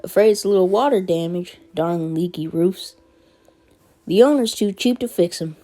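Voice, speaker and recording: A woman's voice: lowish voice, normal speed, mellow, natural voice. A woman voicing a kindly, motherly older female neighbour who talks in a folksy, welcoming way.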